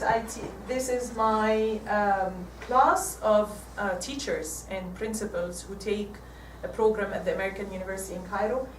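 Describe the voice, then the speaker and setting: A woman's voice: a little high, fairly bright tone, distant mic, a little hiss or hum. Egyptian woman in an echoey hall. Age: 40s. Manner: halting, many restarts. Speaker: guest speaker. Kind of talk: casual talk with slides. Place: church hall.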